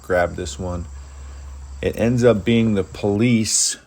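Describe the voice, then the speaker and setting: A man's voice: lowish voice, slow, gravelly, a little mellow. A man telling a first-person story in plainspoken, dramatic present-tense phrases. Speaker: diesel mechanic telling his own story.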